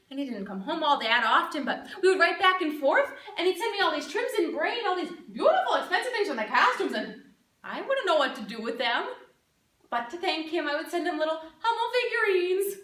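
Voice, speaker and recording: A woman performing a theatrical monologue as an earnest, perky middle-aged small-town crafts lady and proud mother, with dramatic pauses. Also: high-pitched, sharp tone, heavily acted. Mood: fond, animated. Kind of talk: competitive speech monologue, dramatic interpretation. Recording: echoey room, distant microphone.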